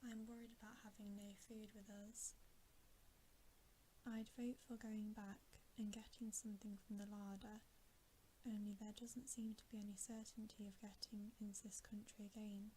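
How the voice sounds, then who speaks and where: A woman voicing a decent, cautious older brother in a storybook, whispering in an earnest, measured way. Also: medium pitch, flat delivery, mellow, natural voice, poor audio. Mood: earnest, worried. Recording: faint background hiss.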